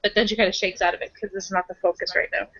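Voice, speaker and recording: A woman's voice: medium pitch, little pitch movement, racing, fairly bright tone, breathy edge, no echo. Woman roleplaying casually, close and dry. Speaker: tabletop roleplaying player. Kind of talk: casual in-character roleplay with asides. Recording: dry but dull, lo-fi microphone.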